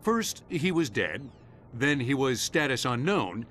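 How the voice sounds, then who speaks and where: An actor playing a slick, earnest TV news anchor in a game cutscene, with a dramatic broadcast delivery. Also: male voice, medium pitch, very animated, slow pace, fairly bright tone, a little hiss or hum. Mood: grave, dramatic.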